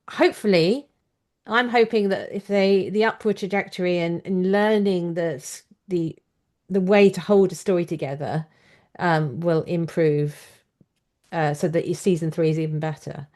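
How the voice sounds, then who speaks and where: Woman, somewhat low pitch, podcast interviewer, analytical, conversational discussion. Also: darkish tone. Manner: analytical, explanatory. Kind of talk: conversational book and screen discussion.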